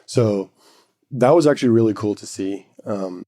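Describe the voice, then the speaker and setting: A man's voice: fairly low pitch, moderate pace, mellow. A man whose first language is Arabic, co-hosting a casual tech podcast and explaining things step by step with filler words. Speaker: podcast co-host.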